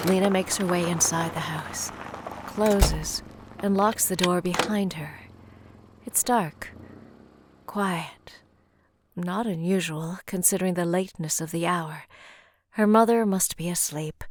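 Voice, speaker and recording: A woman's voice: on the low side, a little mellow. A woman reading scripted dramatic narration for an audio drama.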